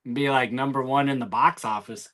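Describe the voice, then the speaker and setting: Man, clear resonant voice, average pitch, casual joking chat, hesitant drawl. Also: fairly even intonation, a little fast, fairly bright tone.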